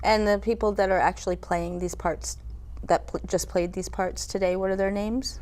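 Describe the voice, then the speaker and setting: A woman's voice: mid-range voice, steady tone, moderate pace, darkish tone. A woman hosting a TV talk show, interviewing in an informal, hesitant way. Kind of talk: casual interview.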